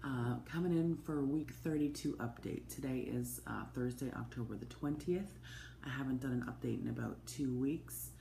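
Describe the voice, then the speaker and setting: A woman, hoarse from a cold, giving a chatty, open personal update to camera with plenty of ums and asides. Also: a bass voice, normal speed, dark tone. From Canada. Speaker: vlogger. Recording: echoey room with faint noise.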